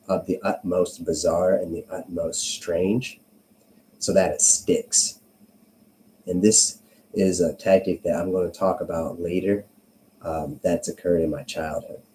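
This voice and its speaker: A man with a very low, softly dark voice talks through his points in an informal video monologue. He pauses often and adds emphatic tag questions to check the point lands. A faint noise sits behind his voice. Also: rough recording. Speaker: vlogger.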